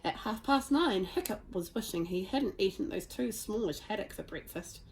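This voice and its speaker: A woman reads a children's story aloud at a medium pitch. She is expressive and puts dramatic emphasis on key words, as a storyteller does for young listeners. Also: moderate pace, slight background noise. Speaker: storybook reader.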